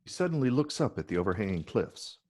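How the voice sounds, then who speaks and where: A man's voice: on the low side, very animated, quick pace, a little mellow. A man narrating scripted stage directions in a table read.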